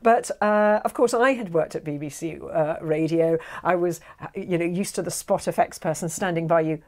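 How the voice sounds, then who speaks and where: A woman's voice: fairly low pitch, moderate pace. A middle-aged woman from England, a guest director, telling studio stories in a casual chat on a lapel mic, with lots of fillers. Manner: animated storyteller, gestures a lot, many fillers. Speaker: guest, audio drama director. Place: small studio set with sofas.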